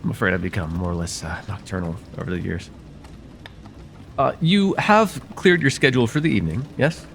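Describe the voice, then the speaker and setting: Male actor as courteous, faintly sinister vampire therapist for ASMR roleplay. Lowish voice, formal, gently hypnotic, noticeable background noise. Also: animated, fairly quick, gravelly. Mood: courteous, measured. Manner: formal, hesitant, gently hypnotic, addressing the listener directly.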